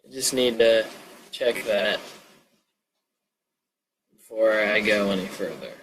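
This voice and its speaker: A man's voice: fairly low pitch, slow, fairly bright tone, slight background noise, poor audio. A man chatting casually on a livestream, rambling and muttering to himself as he goes. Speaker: live streamer.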